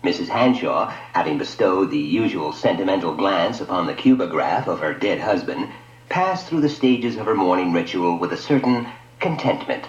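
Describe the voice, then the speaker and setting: A man narrates a radio story in a fairly low voice with widely swinging, animated intonation. His scripted, dramatic reading sounds dull and lo-fi. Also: moderate pace. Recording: dull lo-fi audio, echoey room.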